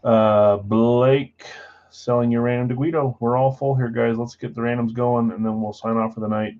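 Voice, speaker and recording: Male card break host, laid-back and plain-spoken, mid-pitched, muffled lo-fi stream audio. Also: fairly even intonation, moderate pace, darkish tone. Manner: self-described boring voice, low-key and matter-of-fact. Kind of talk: casual livestream chat, reading out buyers and spots.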